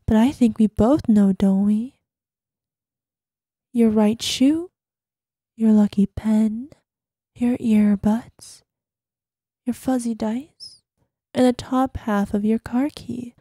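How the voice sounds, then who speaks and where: A woman's voice: a little high, dark tone, no echo, studio quality. A woman playing an obsessive, possessive girlfriend in an ASMR roleplay voicemail, sweetly menacing, dropping into whispers. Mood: sweetly suspicious. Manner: breathy asides, drops into whispers, syrupy pet names.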